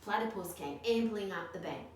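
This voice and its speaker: A woman reading a picture book aloud to children in an expressive storytelling style. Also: medium pitch, moderate pace. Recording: very echoey room, distant mic, noisy.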